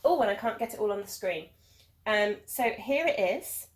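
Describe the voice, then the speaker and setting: A woman's voice: medium pitch, lively intonation, normal speed, rough recording. A woman, hosting a knitting video podcast from home in a rambling, chatty way with many ums, a little sniffly from hay fever. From England. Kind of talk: casual video podcast chat.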